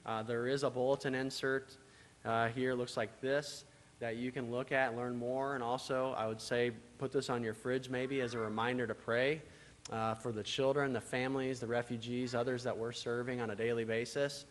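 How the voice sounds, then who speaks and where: A man speaking to a church congregation about his agency's work, pausing often with filler sounds. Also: mid-range voice, little pitch movement, slight background noise. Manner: hesitant, many filler uhs. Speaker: church relations speaker for a social services agency. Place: church sanctuary. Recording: distant mic in a reverberant church.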